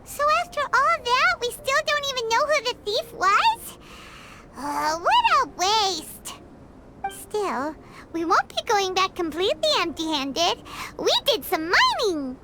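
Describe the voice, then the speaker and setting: A woman voicing a small, chirpy, childlike fairy companion in a video game, squeaky and chatty. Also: takes it slowly, very noisy, heavily acted. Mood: chatty, curious. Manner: excitable, chatty, exclamatory.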